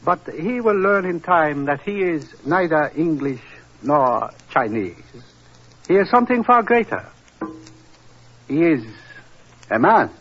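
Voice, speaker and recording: An actor playing a dignified older Chinese prince in a 1940s radio drama, speaking formally, deliberately and with philosophical courtesy. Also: male voice, a little high, animated, fairly bright tone, exaggerated voice. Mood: solemn, gentle. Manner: formal, deliberate, hesitant pauses, moralizing. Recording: husky, muffled old radio recording.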